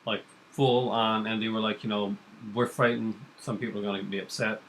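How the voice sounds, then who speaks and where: A man's voice: fairly low pitch, level tone, a little fast, a bit bright, a little hiss or hum, poor audio. A young man co-hosting a casual chat about comics and TV, rambling with lots of fillers. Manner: rambling, filler-heavy, enthusiastic. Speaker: podcast co-host.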